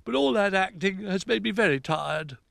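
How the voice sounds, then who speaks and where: A man's voice: sits high, animated, moderate pace, creaky, fairly bright tone, no room sound, clean studio sound, pitched above the performer's own voice, full character voice. A man voicing a small, earnest, plaintive bear cub in a storybook, with a childlike manner. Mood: tired, quiet.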